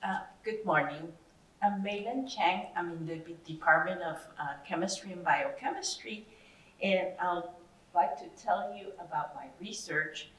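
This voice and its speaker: A woman's voice: fairly low pitch, a little slow, a bit bright. A woman from Ecuador, a chemistry professor telling her story to young people in a friendly, somewhat hesitant way. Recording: echoey room, distant mic.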